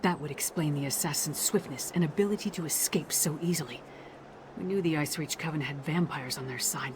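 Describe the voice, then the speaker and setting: An actress playing a brisk, blunt, commanding female investigator in a fantasy video game, with a dry and decisive manner. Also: low voice. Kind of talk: video game character acting.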